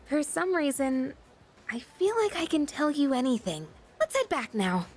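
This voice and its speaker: High voiced proud teen girl from an anime game, frank n firm, really swoopy pitch. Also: sing-song, normal speed, a little mellow. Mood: resolute. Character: proud, independent teenage girl, guarded and resolute. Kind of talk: anime-style video game dubbing. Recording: music behind the voice.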